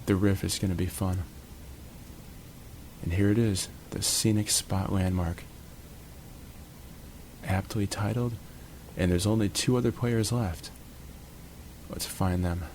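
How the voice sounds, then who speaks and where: Man, very low voice, laid-back jokey gameplay commentary, recorded at home, faint hiss behind. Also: steady tone, slow pace, pauses often, darkish tone. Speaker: gaming channel host.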